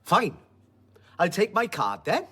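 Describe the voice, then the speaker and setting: An actor playing an indignant, blunt working-class patient in a radio drama. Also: male voice, sits high, wildly swooping pitch, slow pace, bright, forceful tone, husky, heavily acted. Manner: blunt, plain colloquial speech. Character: indignant working-class male patient demanding a sick note.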